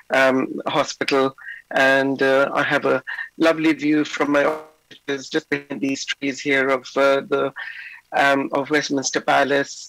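A middle-aged man, slightly hoarse, giving a conference lecture remotely from his office over a video call, with many fillers. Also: medium pitch, a bit bright, dry recording, low-quality recording. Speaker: invited lecturer. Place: indoor office.

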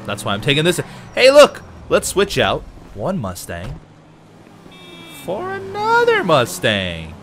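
A man's voice: a little high, slow, background noise. Chatty man gaming with a cold. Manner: chatty, excitable, talks to viewers. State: has a cold, a bit nasal. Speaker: let's play host. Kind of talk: casual gameplay commentary.

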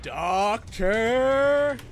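A man's voice: very high voice, expressive, very slow, faint music behind, voice pushed up, heavily acted. A man voicing an indignant, theatrical young male student in a visual novel, bombastic and accusing. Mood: outraged.

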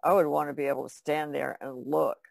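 A woman's voice: sits low, level tone, fairly quick, gravelly, darkish tone, no echo, studio quality. A woman co-hosting a podcast, chatting casually in a plain-spoken, questioning way. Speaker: podcast co-host. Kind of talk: casual conversational discussion.

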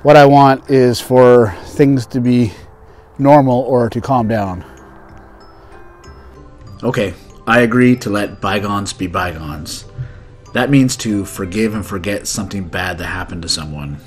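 A man's voice: fairly low pitch, unhurried, long pauses, a little mellow, soft background music. A man in his thirties hosting an English lesson, acting out conversational skit lines and explaining idioms as a teacher. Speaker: English teacher and video host.

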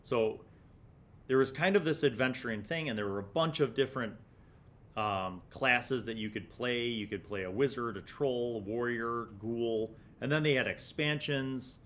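A man's voice: mid-range voice, normal speed, a bit bright. An American man in his forties hosting a tabletop gaming review, talking in a reflective, explanatory, conversational way into a studio microphone. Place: small indoor studio. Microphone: large desktop studio microphone.

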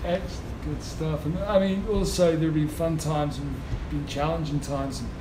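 A man's voice: a little high, steady tone, normal speed. A man interviewing for a podcast, prompting guests with friendly questions. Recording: roomy, distant mic, noisy.

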